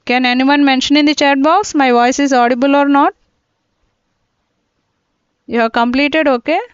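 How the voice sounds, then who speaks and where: A woman teaching a live online class, working through each step and often pausing to check that students are following. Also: high voice, fairly even intonation, no room sound. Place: indoors, teaching from a computer. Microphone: laptop mic. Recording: dull lo-fi online class audio.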